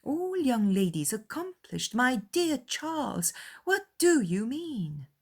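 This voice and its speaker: Woman playing a haughty, gushing young society lady, lilting high. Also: very animated, slow, a little mellow, slightly rough, full character voice. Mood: gushing, superior. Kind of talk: dramatized period novel audiobook.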